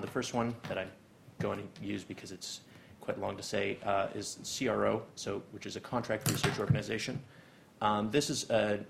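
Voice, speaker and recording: A young man with a Canadian accent lectures to a seminar, pausing on frequent ums and uhs. His voice is low and heavily gravelly and stays flat in pitch. A faint hiss sits under the recording. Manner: hesitant, many ums and uhs. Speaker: guest lecturer. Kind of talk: academic seminar talk.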